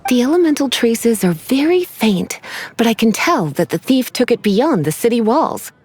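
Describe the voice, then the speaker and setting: A woman's voice: fairly high pitch, very animated, normal speed, darkish tone, slightly rough. A woman voicing a teasing, self-assured librarian in a video game, coy and theatrical with a sweetly menacing edge. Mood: teasing, instructive. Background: faint music.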